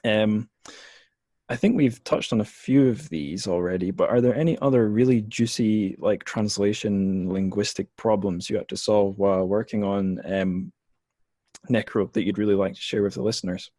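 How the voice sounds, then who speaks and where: Low, husky-voiced podcast host. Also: male voice, moderate pace, no room sound, studio quality. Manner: relaxed, thoughtful, self-mocking asides. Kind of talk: casual interview chat.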